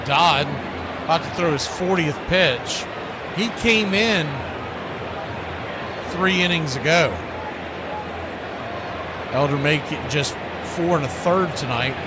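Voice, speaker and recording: Guy doing live baseball radio calls, a bit high pitched, colloquial, really noisy behind him. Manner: conversational, colloquial asides between calls. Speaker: baseball play-by-play announcer. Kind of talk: live sports commentary. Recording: very noisy background, outdoor-like ambience.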